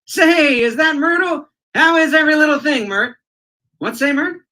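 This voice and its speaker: A man's voice: very high-pitched, sharp tone. A man playing Fibber McGee, a blustering, wisecracking, folksy husband in an old-time radio comedy. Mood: chatty. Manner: folksy, fast-talking, exclamatory, theatrical.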